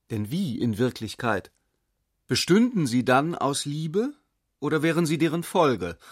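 An actor playing a reflective essayist who reasons aloud in a radio play, probing and deliberate. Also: male voice, medium pitch, dry recording, clean studio sound. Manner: probing, deliberate, philosophical questioning. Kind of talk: literary radio play monologue.

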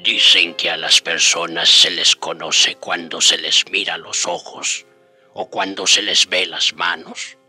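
An actor playing a solemn storyteller, narrating a historical radio drama in a measured way. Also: male voice, low voice, fairly even intonation, sharp tone, breathy edge, faint music behind, full character voice.

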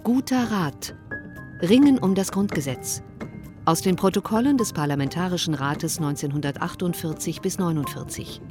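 Lowish female announcer over music. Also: moderate pace. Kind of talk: scripted radio credits announcement.

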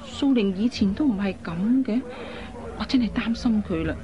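An actress playing a worried, caring young woman in a radio drama, with an earnest, expressive delivery. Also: medium pitch, moderate pace, close to an everyday voice. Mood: fretful. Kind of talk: Cantonese radio drama acting. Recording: dull lo-fi recording, faint noise.

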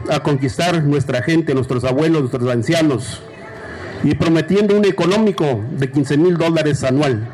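A Mexican man from Oaxaca speaks to a forum crowd, measured and unhurried, breaking his thoughts into short phrases. His voice sits a little high for a man. Traffic and open-air noise run clearly underneath. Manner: slow, deliberate, short phrases with pauses. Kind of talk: public speech.

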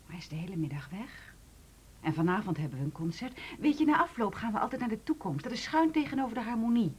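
An actress in a Dutch radio drama playing a casual, helpful orchestra musician. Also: female voice, mid-range voice, expressive, fast talker, breathy edge.

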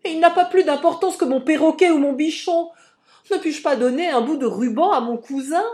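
A woman's voice: on the low side, lively intonation, measured pace, a little mellow, roomy sound. A woman voicing a dramatic, coquettish young lady in an audiobook, exclaiming theatrically with indignation and pleading. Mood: indignant. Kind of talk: audiobook character acting.

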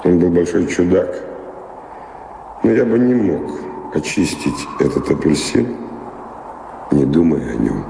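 Very deep, weary wandering man. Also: creaky, noisy background. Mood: musing, storytelling. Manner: musing, deliberate, pausing storyteller. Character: weary wandering man revisiting his old love, wistful philosopher. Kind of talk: theatrical radio drama acting. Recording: echoey hall reverb, music underneath.

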